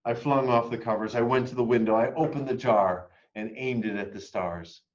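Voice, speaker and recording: A man reading a children's picture book aloud expressively, moving between hushed and emphatic delivery. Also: mid-range voice, normal speed, dull audio. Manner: dramatic, hushed and emphatic by turns. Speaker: read-aloud storyteller.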